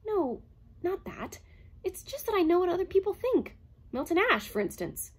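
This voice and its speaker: A woman voicing an earnest, gentle male robot who reads minds, speaking in a soft, pleading and reassuring way. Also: extremely high pitch, very animated, moderate pace, voice pushed up. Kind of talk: audiobook character reading.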